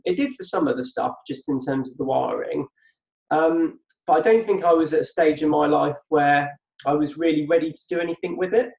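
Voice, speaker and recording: A man's voice: a little high, gravelly, darkish tone. A middle-aged man talking reflectively as an interview guest, often repeating words while he gathers his thoughts. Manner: halting, repeats words while thinking aloud. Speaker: podcast guest. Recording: dull roomy sound from off-mic.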